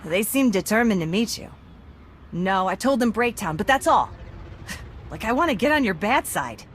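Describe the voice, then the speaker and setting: An actress playing a brash, evasive underworld informant in a video game, with a flippant, sarcastic, casual way of talking. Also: female voice, medium pitch, expressive, moderate pace, slight background noise, close to an everyday voice. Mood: guarded.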